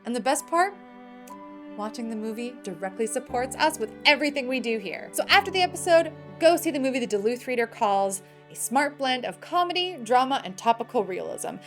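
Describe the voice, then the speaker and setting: Woman, high voice, lively swooping pitch, playful news host, emphatic, faint music behind. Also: fairly quick. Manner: playful, emphatic, slangy asides. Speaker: host of a film news show. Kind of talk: scripted entertainment news narration.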